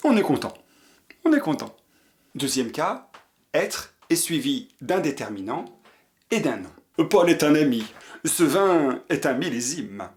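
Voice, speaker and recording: A man's voice: on the high side, big pitch swings, unhurried, darkish tone. A man teaching a language lesson, patiently repeating each example sentence for learners to copy. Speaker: French teacher. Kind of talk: slow French lesson for learners.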